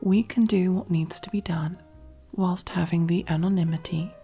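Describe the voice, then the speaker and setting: A woman playing a commanding, possessive and silkily affectionate female mafia boss in an ASMR roleplay, speaking intimately in a breathy, husky voice that often drops to a whisper. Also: on the low side, fairly even intonation, soft background music. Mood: calm, explaining. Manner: slow, controlled, softly commanding, intimate.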